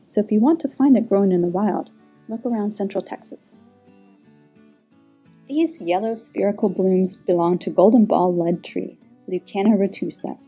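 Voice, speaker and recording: A middle-aged woman, a garden manager, narrating a scripted plant tour in an informative, measured way. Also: mid-range voice, warm tone, husky.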